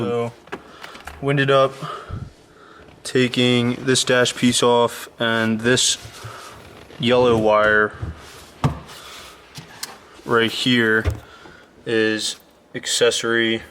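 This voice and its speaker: A man's voice: fairly low pitch, a bit bright, slight background noise. A man hosting a casual how-to vlog, explaining his work in an easygoing, chatty way while working in a garage. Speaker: host of a car build channel. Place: garage, inside a vehicle.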